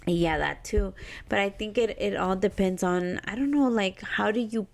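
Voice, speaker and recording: A Mexican American woman with a strongly gravelly, fry-laden voice at a middling pitch. She rambles in casual podcast chat, hunting for words and circling back as she thinks aloud. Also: normal speed. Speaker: podcast co-host.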